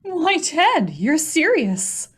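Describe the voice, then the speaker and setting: Woman playing a worried wife, high and animated. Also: very slow, full character voice. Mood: puzzled, indignant. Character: worried, evasive young wife pleading with her husband. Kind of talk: old-time radio mystery acting.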